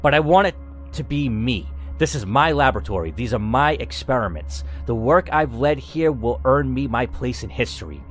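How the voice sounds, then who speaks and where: A man voicing a cold, arrogant lead scientist in a story game, calm, aloof and condescending. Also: mid-range voice, moderate pace, soft background music, close to an everyday voice. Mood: calm, self-important.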